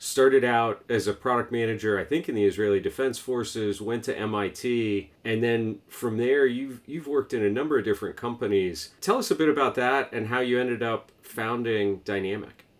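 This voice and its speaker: A man hosting a podcast, reading a scripted intro and then interviewing a guest in a measured, interested way. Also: mid-range voice. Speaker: podcast host.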